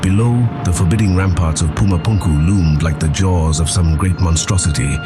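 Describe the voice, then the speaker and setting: A man's voice: deep voice, normal speed. A man giving grave, intense, theatrical first-person narration as the storyteller of an audio drama. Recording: loud music under the voice. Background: loud music.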